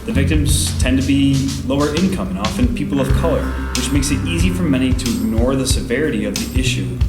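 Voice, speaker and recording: An earnest storyteller introduces scenes in a radio drama, voiced by a man. The voice is mid-pitched and reverberant, with plucked guitar music loud beneath it. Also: brisk, natural voice. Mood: earnest narration. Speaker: narrator. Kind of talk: scripted radio play narration.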